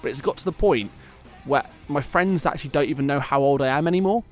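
A man's voice: fairly high pitch, fast, soft background music. A man in his late twenties hosting a casual sports radio chat, joking about himself and laughing often. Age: late 20s. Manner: chatty, laughs often, self-mocking. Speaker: radio show host.

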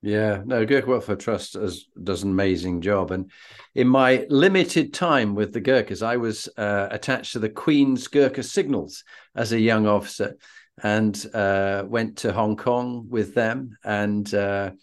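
A man's voice: fairly low pitch, unhurried. A man with a British accent hosting a conversational interview, telling anecdotes in an effusive, emphatic way. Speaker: interview host.

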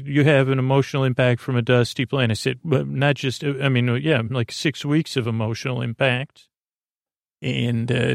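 Creaky-voiced man rambling gently off course. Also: medium pitch, dry recording, clean studio sound. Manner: meandering, halting, trailing off and doubling back; goofy, self-deprecating. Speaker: host of a sleep podcast. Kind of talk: rambling bedtime monologue.

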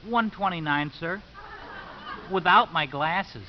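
An actor playing an earnest, polite, slightly built college team captain in a 1950s radio drama, deferential in manner. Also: male voice, on the high side, slow pace. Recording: dull, noisy 1950s radio recording.

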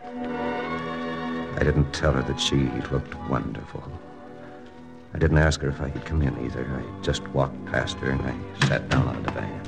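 An actor playing a hard-boiled army lieutenant, narrating in a clipped first-person style for a 1940s radio drama. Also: male voice, rumbling low, fairly even intonation, measured pace, creaky, long pauses, full character voice. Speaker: narrator and lead. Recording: old radio broadcast with music.

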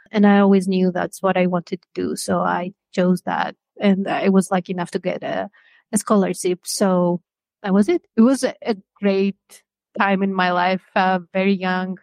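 A woman, young adult, speaks English as an in a podcast interview. She talks in a measured way and stops often to find her words. Her voice sits in an ordinary middle pitch and the recording is close and dry. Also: a little mellow, no echo. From Greece. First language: Greek. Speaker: author guest.

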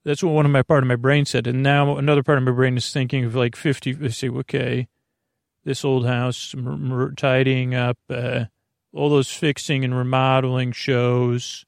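A man hosting a bedtime podcast in a creaky, gravelly voice, rambling in a halting, meandering way and drifting into tangents mid-sentence. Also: mid-range voice, flat delivery, no echo, studio quality. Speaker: podcast host. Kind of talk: rambling bedtime monologue for falling asleep.

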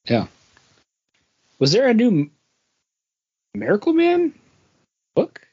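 A man's voice: high voice, huge pitch swings, slow pace, mellow, husky. A man co-hosting a podcast in a casual, conversational style. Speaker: podcast co-host. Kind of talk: casual chat. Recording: dull, lo-fi podcast audio.

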